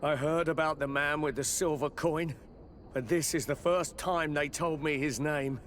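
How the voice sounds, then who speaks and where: An actor playing a frightened, deferential merchant in a video game, hesitant and earnest. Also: male voice, on the high side, fairly even intonation, moderate pace, slight background noise, close to an everyday voice. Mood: worried. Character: frightened, deferential male shopkeeper, earnest and grateful.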